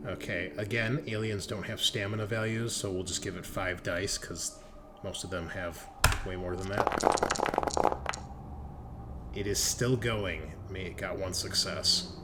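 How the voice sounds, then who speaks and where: A man's voice: deep voice, fairly even intonation, measured pace, pauses often, background noise. A man running a tabletop roleplaying game as game master, talking casually and jokily.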